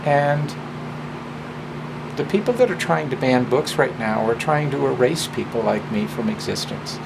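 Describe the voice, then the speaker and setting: Male presenter, informal and deliberate, unhurried delivery with some gravel in it. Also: mid-range voice. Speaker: presenter and drag performer. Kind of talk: informal personal talk and story hour introduction. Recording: clear steady hum of background noise.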